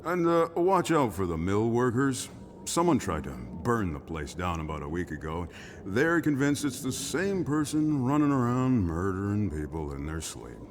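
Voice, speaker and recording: Deep-voiced, lilting, genial barkeeper. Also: male voice, very animated, moderate pace, slight background noise. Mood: helpful, guarded. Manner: folksy, easygoing, wry. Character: genial, world-weary small-town barkeeper. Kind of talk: cinematic video game drama acting.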